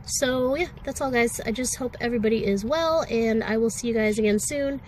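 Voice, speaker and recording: A woman's voice: a little high, fairly quick, darkish tone, slight background noise, low-quality recording. A woman with a sore throat and a congested voice, talking candidly and ramblingly in a personal vlog, with many fillers. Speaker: vlogger. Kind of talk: casual personal vlog talk.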